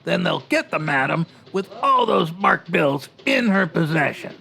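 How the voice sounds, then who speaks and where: A man playing an excitable, fretful newspaperman sidekick in a 1940s radio style comedy, with punchy period slang. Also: sits high, measured pace, creaky, heavily acted. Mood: eager. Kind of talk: 1940s radio crime drama acting.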